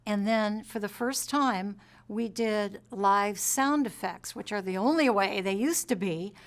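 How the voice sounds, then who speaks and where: A woman recounts her experience in a documentary interview. Her pitch is average. She tells it in measured phrases with clear emphasis.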